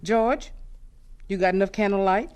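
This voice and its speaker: A woman playing a bossy, loving, devout Southern aunt in a radio drama, husky and emphatic, with folksy scolding. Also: mid-range voice, normal speed, darkish tone, close to an everyday voice.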